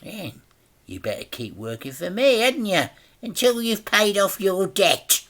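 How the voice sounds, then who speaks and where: Guy doing a sinister gangster boss for a kids story, mocking, forceful, drags words out. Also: sits high, sing-song, pitched above the performer's own voice, heavily acted. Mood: snappy, sinister. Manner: mocking, drawn-out menacing delivery. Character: sinister, mocking male crime boss with henchmen. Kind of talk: children's storybook character voice.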